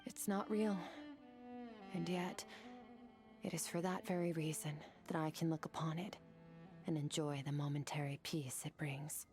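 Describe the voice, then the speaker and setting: A woman's voice: low voice, fairly even intonation, a little slow, long pauses, a little mellow, faint music behind. A woman voicing a centuries-old stoic swordswoman in a video game, cool, resolute and formal. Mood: calm, wistful. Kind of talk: dramatic video game acting.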